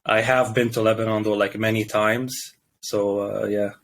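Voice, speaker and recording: A young man born and raised in Canada, a guest in a casual interview, who talks haltingly with many restarts and fillers. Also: fairly low pitch, flat delivery, a little hiss or hum.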